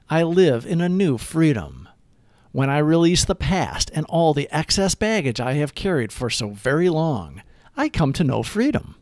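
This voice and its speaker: Man reading aloud with very lively pitch. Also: no echo, clean studio sound. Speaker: narrator of daily recovery readings. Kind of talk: scripted read-aloud reflection.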